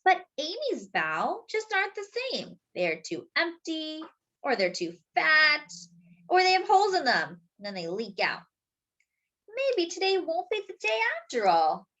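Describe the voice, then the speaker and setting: A woman reading a picture book aloud to young children as a storytime host, expressively, with drawn-out words and little asides to her listeners. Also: high-pitched, wildly swooping pitch, a bit bright, dull audio.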